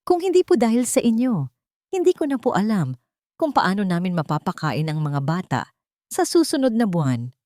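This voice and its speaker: A woman's voice: medium pitch, sing-song, normal speed, mellow, dry recording, studio quality, lightly acted. A woman voicing a kindly, grateful old woman who runs an orphanage, in an earnest storybook drama manner.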